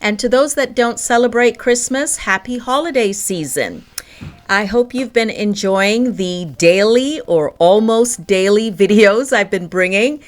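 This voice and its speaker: Woman vlogger, mid-pitched, chatty and warm, talking through a recipe in a home kitchen. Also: unhurried. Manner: chatty, laughs often. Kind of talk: casual baking tutorial vlog.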